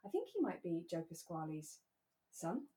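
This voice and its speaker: A woman co-hosting a podcast in relaxed, casual chat, talking in a candid, conversational way. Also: lowish voice, normal speed. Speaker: podcast co-host. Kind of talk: relaxed casual chat about a radio drama.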